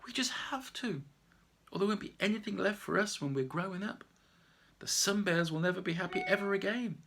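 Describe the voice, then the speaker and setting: A man's voice: high-pitched, brisk, gravelly, fairly bright tone, voice pushed up, exaggerated voice. A man voicing an earnest young schoolboy who pleads and stammers in a storybook. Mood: pleading.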